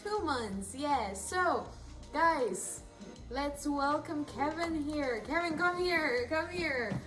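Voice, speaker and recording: A woman's voice: high-pitched, animated, slow pace, a bit bright. A woman hosting a casual chat show, bubbly, with upbeat interjections. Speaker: host. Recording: music in background.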